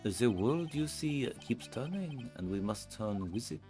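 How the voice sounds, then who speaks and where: A man's voice: medium pitch, expressive, unhurried, darkish tone, soft background music, lightly acted. A man doing a smug, cocky defense lawyer. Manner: smug, grandstanding, speaks in aphorisms. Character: cocky coffee-drinking male defense lawyer. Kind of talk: fan voice acting of a game.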